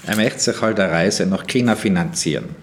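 An actor playing a grumbling, dismissive ship's cook in a naturalistic, muttering, complaining way for a radio play. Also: male voice, low voice, normal speed, background noise. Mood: chatty, grumbling.

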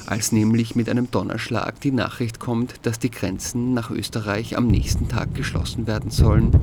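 A man from Austria reads a scripted first-person audio diary as narrator, in a measured, dryly wry way. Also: on the low side, creaky, very noisy.

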